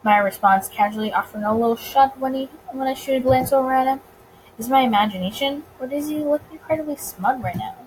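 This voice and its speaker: A woman reading a visual novel aloud in an expressive narrating style, occasionally tripping over words. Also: high voice, fast talker, a little hiss or hum, low-quality recording.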